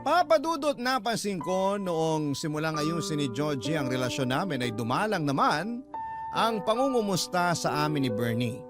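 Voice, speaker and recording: A man's voice: on the high side, sing-song, normal speed, music in the background. A man narrating a scripted first-person story as a radio drama host.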